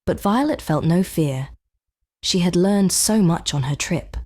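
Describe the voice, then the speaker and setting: Lowish lady voice reading kids bedtime story, gentle n measured, very dry recording. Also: expressive, no echo. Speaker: children's story narrator. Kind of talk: scripted bedtime storybook narration.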